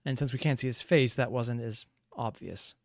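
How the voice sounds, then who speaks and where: A man's voice: mid-range voice, normal speed. A man giving off-the-cuff analytical commentary as a reaction video host, musing and thinking aloud.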